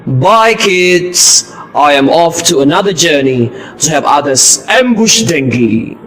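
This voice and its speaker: Man playing a high-pitched, earnest puppet-show superhero. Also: lively intonation, a bit bright, poor audio. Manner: deliberate, declamatory, recited delivery. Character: heroic mosquito destroyer, male, earnest and instructive. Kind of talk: amateur puppet show, scripted explanation.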